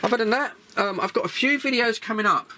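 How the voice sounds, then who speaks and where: An English man hosting a hobby vlog, chatting casually and informally in a rambling way with hesitant pauses. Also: very high voice, pushed and bright, a little hiss or hum, rough recording. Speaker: host of a radio hobby channel. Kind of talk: casual vlog chat, gear preview.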